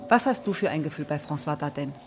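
An actress playing a frank, sceptical police colleague in a crime radio drama, probing with wry concern. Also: female voice, deep voice, expressive, quick pace. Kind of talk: naturalistic German crime radio drama acting. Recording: faint background noise.

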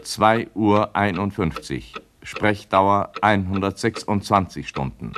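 An actor playing an impassive timekeeper in a radio play, making formal, matter-of-fact announcements in clipped phrases. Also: male voice, lowish voice, takes it slowly, fairly bright tone. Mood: neutral, official. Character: impassive male timekeeper announcing record clock times.

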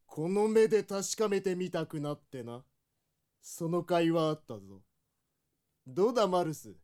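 An actor playing an arrogant old dark sorcerer in a fantasy audio drama, speaking in archaic, pompous, gloating menace. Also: male voice, high-pitched, expressive, normal speed. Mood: sly, mocking.

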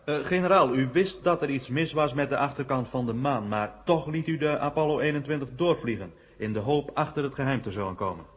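A man's voice: medium pitch, fairly quick, hardly any pauses, close to an everyday voice. Polite probing reporter, reverberant hall played by a man. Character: hesitant but probing reporter at press conference. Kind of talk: Dutch radio play acting, 1970. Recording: muffled 1970 radio recording, echoing hall.

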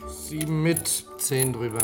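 An actor playing a cocky, breezy young gangster in a 1960s German radio play, jaunty, slangy and jeering. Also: male voice, on the high side, unhurried, over music, natural voice.

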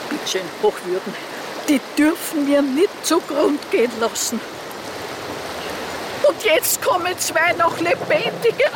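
An actress playing an earnest Austrian countrywoman in a radio play, pleading deferentially and urgently for help. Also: very high-pitched. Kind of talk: radio play monologue, phone call. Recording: loud rushing water noise. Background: rushing flood water.

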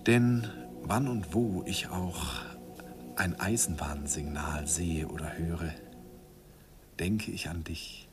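An actor narrating as a man recalling his young love, in a hushed, reflective voice, in an old radio recording. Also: low voice, slow. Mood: wistful. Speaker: narrator and young German student Andi. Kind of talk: 1950s German radio drama narration. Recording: faint hiss of an old 1951 radio recording.

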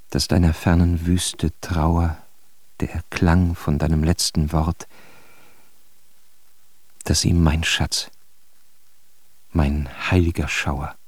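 An actor playing a solemn poet, deliberately reciting mournful love verse in a radio drama. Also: male voice, low-pitched, a little mellow, no echo, full character voice.

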